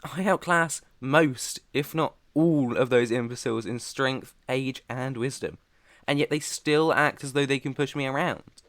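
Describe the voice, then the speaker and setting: A man's voice: mid-range voice, unhurried, a bit bright, no echo, lightly acted. A British man playing an ancient vampire master in an ASMR roleplay, proud, protective and tender, with an earnest, slightly formal way of talking. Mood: indignant anger. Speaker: roleplay voice performer.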